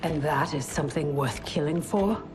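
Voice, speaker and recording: A woman playing a sorrowful, resolute warrior in a video game cutscene, speaking in measured, formal phrases. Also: low voice, music in the background, lightly acted. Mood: solemn, probing.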